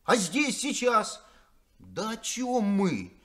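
An actor playing an earnest sailor making an impassioned speech at a crew meeting in a 1970s radio play. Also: male voice, high-pitched, huge pitch swings, slow, a bit bright, heavily acted. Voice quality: impassioned, emphatic.

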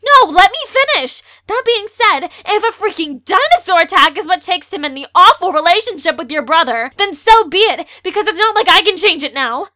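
A woman's voice: very high voice, lively intonation, brisk, hardly any pauses, sharp tone, heavily acted. A young woman playing an outspoken, sarcastic teenage girl in an amateur audio drama. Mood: angry scolding.

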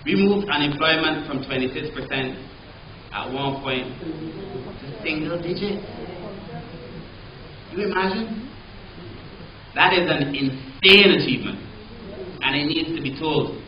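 A man's voice: a little high, a little slow, long pauses. A middle-aged man with a Barbadian accent giving a public lecture, emphatic and rhetorical, stressing key words. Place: lecture hall with audience. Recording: roomy, slightly dull hall recording.

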